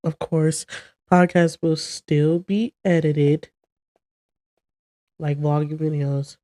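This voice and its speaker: Slow-talking congested young woman. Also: low voice, steady tone, warm tone, no echo, clean studio sound. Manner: chatty and rambling, talking to camera. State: congested from a cold. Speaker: podcast host. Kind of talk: casual solo chat.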